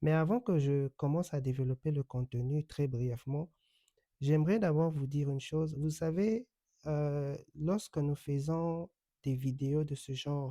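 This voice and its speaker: Dark-voiced man explaining things slowly. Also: fairly high pitch, no room sound. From Congo. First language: Kikongo. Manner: measured, explanatory, with frequent hesitations. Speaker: online commentator and accredited journalist. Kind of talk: direct-to-camera commentary. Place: home in the United States.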